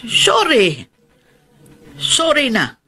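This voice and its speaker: High, bright, touchy aunt. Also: female voice, wildly swooping pitch, very slow, husky, heavily acted. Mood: hurt. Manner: plaintive, reproachful, dramatic. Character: touchy, self-pitying older aunt who raised her nephew. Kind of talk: Filipino radio drama acting.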